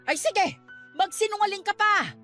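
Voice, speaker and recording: High, dramatic, scolding Filipino aunt. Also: female voice, moderate pace, sharp tone, soft background music. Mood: accusing. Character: stern, superstitious aunt scolding her nephew. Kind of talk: Filipino radio drama acting.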